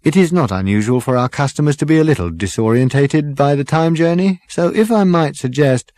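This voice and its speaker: A man's voice: a little high, lively intonation, a little fast, a little mellow, no room sound, clean studio sound, voice pushed up, lightly acted. A man voicing an obsequious, polite waiter in a comic audiobook, formal and deferential with patient condescension. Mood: patiently condescending.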